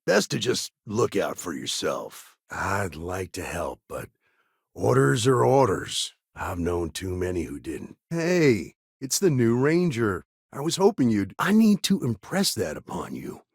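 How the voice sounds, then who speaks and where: A man playing a guarded, world-weary frontier lawman in a video game, with a gravelly, husky voice and laconic, folksy phrasing. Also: on the low side, very animated, unhurried, dry recording, studio quality, full character voice. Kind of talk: game dialogue lines.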